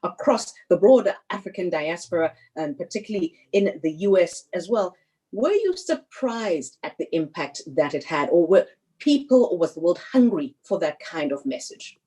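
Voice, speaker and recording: Husky-voiced woman moderating a panel. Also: on the low side, big pitch swings, dark tone, rough recording. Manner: measured, formal, courteous questioning.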